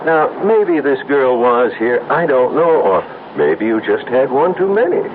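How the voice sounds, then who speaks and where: A man's voice: mid-range voice, sing-song, a little slow. A man playing a doubting, prodding companion in a 1970s radio drama. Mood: skeptical. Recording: noisy, tinny old radio broadcast.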